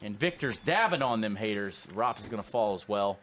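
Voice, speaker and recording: A man's voice: fairly low pitch, lively intonation, fairly quick, fairly bright tone, a little hiss or hum. A man calling esports action play by play, with dry, teasing humor between the calls. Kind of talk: play-by-play commentary.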